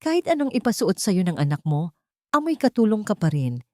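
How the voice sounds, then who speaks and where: A woman's voice: mid-range voice, very animated, fairly quick, no room sound, studio quality, pitched above the performer's own voice, natural voice. A woman storyteller voicing a haughty young rich bride-to-be who talks with sweetly venomous contempt in a dramatic tale. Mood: scornful.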